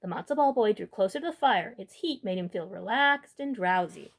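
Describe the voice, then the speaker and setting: A woman's voice: mid-range voice, expressive, fairly quick, gravelly. A woman hosting a storytime, reading a children's book expressively and adding informal asides. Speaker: storytime host reading aloud.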